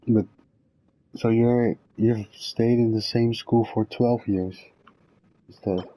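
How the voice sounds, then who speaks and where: Mellow, easygoing-paced man chatting while gaming. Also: mid-range voice, fairly even intonation, takes it slowly, slight background noise, poor audio. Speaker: streamer playing a video game. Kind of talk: casual chat while gaming.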